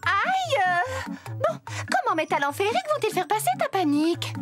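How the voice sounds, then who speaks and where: Very high, flighty little fairy. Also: female voice, very animated, normal speed, music in the background. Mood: flustered, musing. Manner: florid, theatrical. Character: flustered, grandly polite little female fairy. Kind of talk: animated children's cartoon acting.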